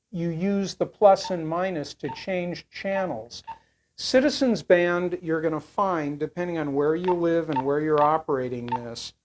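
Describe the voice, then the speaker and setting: An older man giving a casual, unscripted product demonstration, talking in a halting, rambling, matter-of-fact way. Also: on the high side, slight background noise. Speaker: product reviewer.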